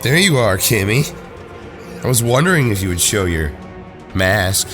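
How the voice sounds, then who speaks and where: A man's voice: on the low side, very animated, unhurried, fairly bright tone, soft background music. An actor playing a cold, taunting young brainwashed villain in an audio drama, sneering and mocking as he threatens.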